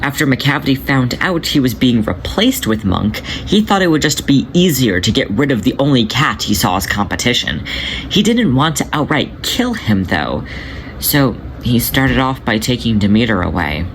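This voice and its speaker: A man voicing a cocky male cat who is protective and teasing, in a gentle, affectionate manner. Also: medium pitch, sing-song, normal speed. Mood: grave. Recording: noisy home recording.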